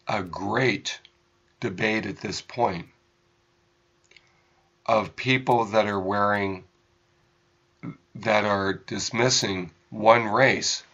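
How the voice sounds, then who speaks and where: An American man giving unscripted political commentary as a radio host, in halting, deliberate phrases with emphasized words. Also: fairly low pitch, bright, forceful tone, highs cut off.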